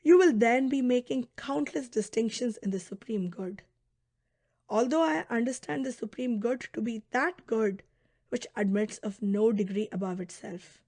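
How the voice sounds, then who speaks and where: A woman reading a philosophical audiobook in a measured, deliberate, formal manner. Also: on the high side, darkish tone, no room sound. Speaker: audiobook narrator. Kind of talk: scripted narration of a philosophical text.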